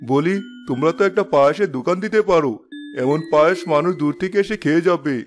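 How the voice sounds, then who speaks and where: A man acts a delighted villager in a cartoon fable. His voice is fairly high and full of effusive admiration, over faint music. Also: quick pace, lightly acted. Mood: amazed, admiring. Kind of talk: animated moral story voice acting.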